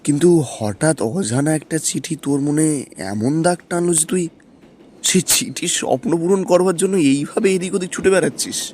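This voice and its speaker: A man's voice: on the high side, fairly quick, a little mellow, a little hiss or hum, natural voice. A man voicing a concerned, loyal young friend, familiar and inquisitive, in a cartoon story. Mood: curious. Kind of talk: cartoon moral story dubbing. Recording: music behind the voice.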